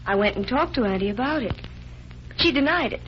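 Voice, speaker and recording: A woman's voice: on the high side, animated, brisk, natural voice. A woman playing a frightened, earnest young heiress, pleading in emotional 1940s radio drama style. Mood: subdued, earnest. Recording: muffled, noisy 1940s radio recording.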